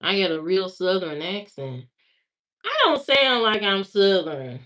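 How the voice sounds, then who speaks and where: A woman's voice: medium pitch, expressive, a little slow, fairly bright tone. A woman from Indiana chatting casually on a livestream, answering viewer comments and repeating herself as she talks back to them. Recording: slightly muffled lo-fi stream audio.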